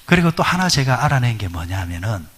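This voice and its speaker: A man's voice: mid-range voice, big pitch swings, brisk, a bit bright, slightly rough. A middle-aged man preaching a sermon at a midweek prayer service. He talks conversationally, asks the congregation questions, and uses many fillers. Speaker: pastor.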